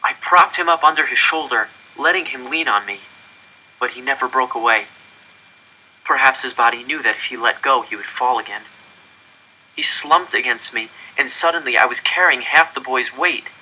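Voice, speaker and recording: Intense male narrator with sharply bright voice. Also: mid-range voice, normal speed, rough recording. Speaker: audiobook reader. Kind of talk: dramatic first-person story narration.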